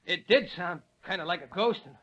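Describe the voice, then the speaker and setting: A man's voice: high voice, brisk, fairly bright tone. An actor playing a dim, skittish henchman with folksy, colloquial talk in an old radio drama. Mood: skeptical, uneasy. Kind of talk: 1930s radio drama acting. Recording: dull old radio recording.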